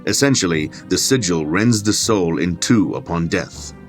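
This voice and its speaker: A man's voice: sits low, animated, moderate pace, soft background music. An actor playing a learned, pedantic clergyman scholar in an audio drama, precise and dryly sardonic. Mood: absorbed, explanatory. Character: learned, condescending male occult scholar, clergyman. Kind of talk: dramatic audio fiction acting.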